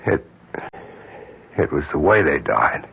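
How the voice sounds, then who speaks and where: Deep, husky, sombre middle-aged doctor. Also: male voice, sing-song, exaggerated voice. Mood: haunted, confessional. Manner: halting, sombre. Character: haunted, self-reproachful middle-aged doctor. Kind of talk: old-time radio drama acting. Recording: muffled old radio recording.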